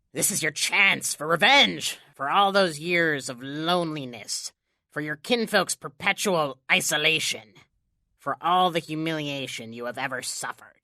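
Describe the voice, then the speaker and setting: A woman playing a sly, small, thin male stranger in an audio drama, coaxing and insinuating with dramatic pauses. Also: deep voice, pushed and bright, no room sound, clean studio sound, heavily acted.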